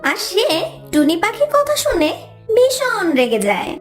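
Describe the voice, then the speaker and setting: A woman reads scripted narration for a children's cartoon. Her voice is extremely high with a very animated melody, and music plays behind her. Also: unhurried.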